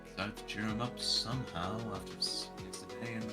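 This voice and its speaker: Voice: medium pitch, a little fast, over loud music. A streamer reading a visual novel's narration aloud in a dramatic way.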